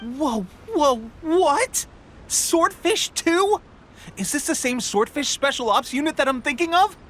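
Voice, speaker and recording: High, boyish eager young soldier. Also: male voice, unhurried, slight background noise, heavily acted. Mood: astonished. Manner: excitable, brash, earnest. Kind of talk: video game voice acting. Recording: light music behind the voice.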